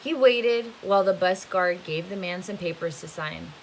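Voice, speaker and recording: A woman reading a novel aloud as a teacher would to students, with expressive storytelling narration. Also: lowish voice, moderate pace, low-quality recording. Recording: faint hiss behind the voice. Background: faint steady hiss.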